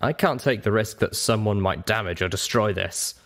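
A man's voice: fairly low pitch, moderate pace, fairly bright tone. A man voicing an ancient, lonely dragon guardian in a story reading, weary, blunt and grave. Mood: morose. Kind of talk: audiobook character voice.

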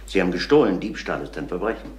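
An actor playing a composed, probing police inspector in a German crime radio drama, questioning in a measured, matter-of-fact way. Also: male voice, low voice, very animated, a little mellow. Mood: stern, calm.

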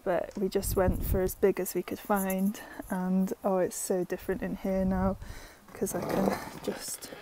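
A young woman giving a relaxed, chatty walkthrough of her land and barn, with plenty of fillers and soft laughs, recorded on an earphone mic outdoors. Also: medium pitch, background noise. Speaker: vlogger. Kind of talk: casual walkthrough narration.

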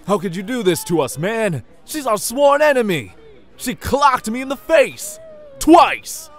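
A man's voice: very high-pitched, sing-song, a little slow, heavily acted. Man playing a swooping, indignant gang boy. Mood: outraged. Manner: loud, confrontational. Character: angry, indignant teenage male gang leader. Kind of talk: comic dub acting. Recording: music behind the voice.

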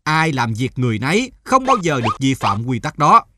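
A man's voice: medium pitch, sing-song, fairly quick, background noise, close to an everyday voice. Man acting a pompous, smug cartoon husband. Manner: pompous, self-assured. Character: smug big-jawed husband boasting of ruling his home. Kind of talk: comic cartoon dubbing. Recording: music behind the voice.

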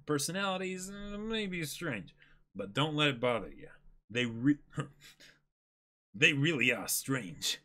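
A young man in his twenties reads a manga script aloud as co-host. His voice sits a bit high and moves in a lively way, with casual asides between lines. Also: unhurried, long pauses. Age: 20s. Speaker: co-host of a dramatic reading. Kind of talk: casual chat and character reading. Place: small indoor room, seated before a backdrop.

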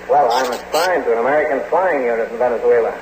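An actor playing a polite American army pilot who is hurt and in pain, in a radio drama. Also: male voice, mid-range voice, fast, roomy sound, natural voice. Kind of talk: 1940s radio acting. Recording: noisy old radio recording.

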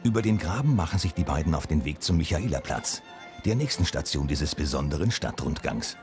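Very deep-voiced male news narrator. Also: brisk, faint music behind. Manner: calm, even-paced news delivery. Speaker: reporter narrating. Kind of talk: scripted voice-over narration.

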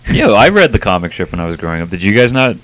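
A man's voice: on the low side, sing-song, fast talker, fairly bright tone, slight background noise, rough recording. A young man co-hosting a review show in casual, slangy, profane chat on a handheld microphone. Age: young adult. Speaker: comic review show co-host.

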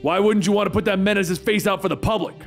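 A man voicing a subdued, gruff older editor. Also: high-pitched, steady tone, fast, soft background music, pitched above the performer's own voice. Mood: shaken. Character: gruff older male newspaper editor, shaken.

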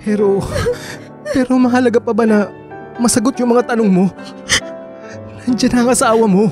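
A man's voice: extremely high pitch, steady tone, moderate pace, over music. A man playing a reluctant, earnest married ex-boyfriend who reasons and questions, in a Filipino radio drama. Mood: apologetic, exasperated.

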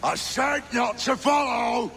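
Gravelly, high-pitched mad pirate captain. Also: male voice, lively intonation, takes it slowly, over loud music, full character voice. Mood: crazed. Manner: sneering, foul-mouthed, volatile. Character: reckless, mad, vicious pirate captain, snarling and taunting. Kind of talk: dramatic video game acting.

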